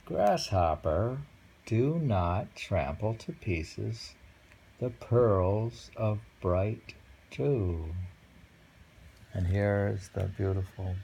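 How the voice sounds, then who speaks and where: A man reading short poems aloud and chatting about them casually, musing and pausing over the lines. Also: on the low side, expressive, gravelly, warm tone, husky, poor audio. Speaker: poetry reader and host. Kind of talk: casual poetry reading and chat.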